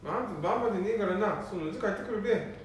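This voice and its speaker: A man playing a gruff middle-aged son with a Nanbu dialect in an amateur radio drama. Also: high voice, quick pace, lightly acted. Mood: casual. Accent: Nanbu dialect, northeastern Japan. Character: middle-aged eldest son, gruff, embarrassed by his father. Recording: very echoey, distant, faint noise.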